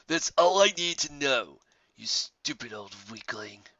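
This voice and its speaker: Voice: medium pitch, expressive, measured pace, a bit bright, no room sound, highs cut off. A narrator voicing a sullen, sarcastic male monster soldier who grumbles under a demotion in an audio story.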